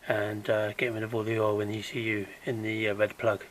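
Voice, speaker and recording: A man with a croaky voice gives a casual, hands-on how-to while working on an engine in a garage, chatting along and dropping to a mutter as he works. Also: fairly low pitch, completely flat delivery, quick pace, slight background noise. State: croaky, recovering from illness. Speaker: DIY car repair vlogger.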